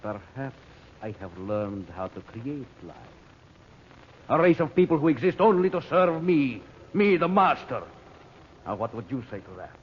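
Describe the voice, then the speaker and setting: A man's voice: medium pitch, very animated, normal speed, natural voice. A cruel, power-mad scientist acted by a man declaims grandly in an old radio serial. The sound is muffled, with some background noise. He is menacing and commanding. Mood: cold, commanding.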